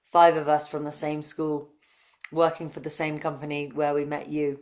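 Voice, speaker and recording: Englishwoman, 30s, low-pitched voice, conversational interview guest. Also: completely flat delivery, moderate pace, darkish tone. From UK, grew up near London. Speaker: interview guest, breathwork facilitator.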